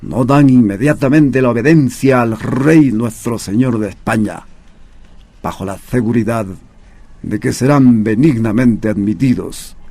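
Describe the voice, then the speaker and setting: An actor playing a stern Spanish colonial commander, reading a threatening letter aloud in a formal, measured, declamatory way for a radio drama. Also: male voice, medium pitch, creaky, dark tone, a little hiss or hum.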